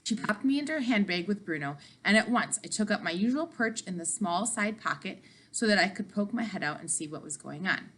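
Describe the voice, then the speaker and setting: A woman narrating a children's book aloud with animated, dramatic emphasis. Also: medium pitch, fairly quick, few pauses, slightly rough. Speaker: read-aloud storyteller.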